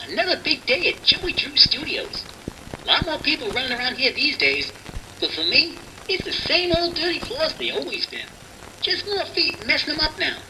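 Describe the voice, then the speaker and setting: An actor playing a working-class studio janitor in a game audio log, folksy and wistful, grumbling but fond. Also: male voice, very high voice, background noise. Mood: weary grumbling. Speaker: janitor character.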